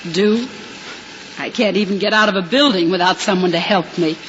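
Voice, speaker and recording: An actress playing a proud young woman who has just gone blind, pleading and halting in a 1950s radio drama. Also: mid-range voice, fairly bright tone, lightly acted. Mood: distressed, pleading. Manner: pleading, halting, breaks into stammers. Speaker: hospital patient. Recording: muffled hissy old radio broadcast.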